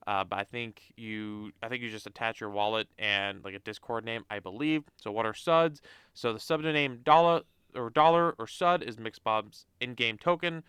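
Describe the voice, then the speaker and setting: A man's voice: medium pitch, bright, forceful tone, smooth, no echo, clean studio sound. A young man with a stuffy nose from a cold, casually talking through a website on screen with lots of fillers and restarts. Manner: rambling, many fillers and restarts. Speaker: crypto channel host. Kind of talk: casual screen-share walkthrough.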